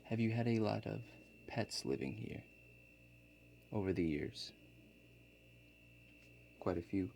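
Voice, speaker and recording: A man playing a laid-back construction contractor in a soft-spoken ASMR role-play, talking in a halting, matter-of-fact way. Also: lowish voice, animated, vocal fry, full character voice. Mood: quietly inquisitive. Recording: faint background noise.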